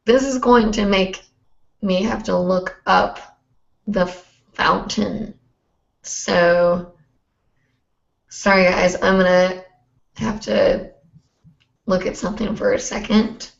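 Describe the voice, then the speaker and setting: A young woman hosting a hoop dance livestream, hoarse and chatty, rambling with many fillers. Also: mid-range voice, steady tone, slow pace, long pauses. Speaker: host. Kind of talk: casual livestream chat. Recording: roomy, dull lo-fi stream audio.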